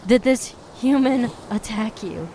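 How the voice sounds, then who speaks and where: A woman playing a calm, assured mermaid confidante in an audio drama, soothing and persuasive. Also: a little high, unhurried, slight background noise. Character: calm, consoling female mermaid confidante, unashamed of killing.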